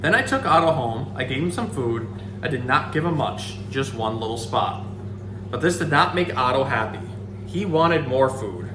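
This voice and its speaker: A man's voice: mid-range voice, expressive, normal speed. A man hosts an animal storytime, explaining things in a casual, informal way. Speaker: animal educator and storytime host. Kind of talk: informal nature lesson and picture book read-aloud. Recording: echoey room, clear background noise.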